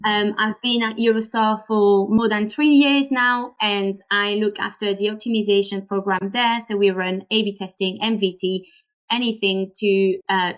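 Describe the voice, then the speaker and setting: A woman's voice: mid-range voice, fairly bright tone. A Belgian woman with a French accent, a native French speaker, explaining her work carefully as a guest on a webinar call. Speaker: webinar guest, optimization lead.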